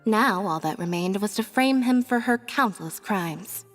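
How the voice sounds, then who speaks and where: A woman's voice: mid-range voice, animated, measured pace, lightly acted. An actress playing a young female magician recounting a crime with dramatic storytelling. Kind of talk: video game voice acting. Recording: game audio with reverb and music.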